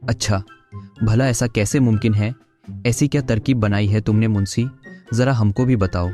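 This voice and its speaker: A man's voice: fairly low pitch, steady tone, normal speed, mellow, close to an everyday voice. A man voicing a stingy, shrewd rich village boss in a cartoon, with a condescending manner. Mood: bargaining. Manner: condescending, sarcastic. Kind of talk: Hindi cartoon voice acting. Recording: music behind the voice.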